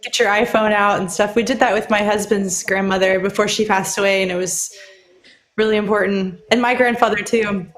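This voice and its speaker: A woman's voice: mid-range voice, fairly even intonation, fairly quick, a bit bright. A woman chatting on a video call, speaking in an eager, emphatic way. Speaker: guest musician. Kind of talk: casual chat on a live stream.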